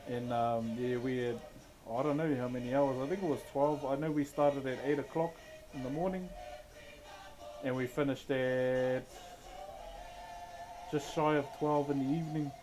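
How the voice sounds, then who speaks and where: A man's voice: mid-range voice, steady tone, moderate pace, pauses often, background noise. A man with a New Zealand accent, a wood carver chatting casually with live stream viewers in a laid-back, chuckling, slangy way. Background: background music.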